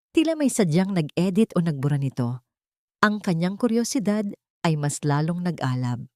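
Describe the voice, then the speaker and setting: A woman's voice: fairly low pitch, a little mellow, dry recording, clean studio sound. A woman narrating a scripted audio drama in a solemn, literary storytelling manner.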